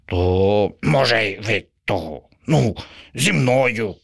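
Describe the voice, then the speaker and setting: Storybook crow: dreamy, huffy lady bird, drawn-out rapturous lines, voiced by a man. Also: on the low side, animated, vocal fry, dry recording, clean studio sound, voice pushed up, full character voice. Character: dreamy female crow, dramatic and restless.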